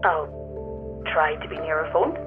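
An actress playing a curious, determined climber in an audio drama, speaking in a direct, slightly awkward way through a telephone filter. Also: female voice, low-pitched, expressive, slow. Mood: resolute. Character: curious, determined woman climbing a mysterious tower. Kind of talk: naturalistic audio drama dialogue. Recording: thin phone-line sound, music underneath.

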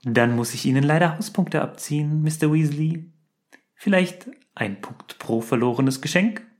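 A man voicing a stern, sneering male professor in a fan audio story, speaking slowly in a hushed, cold way. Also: a little high, voice pushed up, lightly acted.

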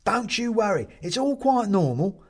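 A man's voice: high-pitched, sing-song, normal speed, a little mellow, pitched above the performer's own voice. A man voicing a jovial, fussy china-figurine ghost in a storybook, chatty and hearty with old-fashioned British turns of phrase. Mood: cheerful, reassuring. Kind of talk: storybook character voice.

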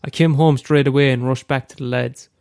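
A man's voice: mid-range voice, steady tone, brisk, gravelly, a little mellow, smooth, no echo, clean studio sound. A young Irish man with a head cold, reading aloud a short story he wrote, after a hesitant casual introduction. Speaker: amateur storyteller.

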